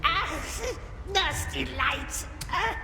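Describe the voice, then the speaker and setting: Wretched, whispering, hissing creature, wheedling, drawn-out delivery; fan audio drama. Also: female voice, fairly high pitch, sing-song, over loud music, heavily acted. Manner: hissing whispers, talks of himself as we. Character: Gollum, wretched hissing creature, cringing and sly.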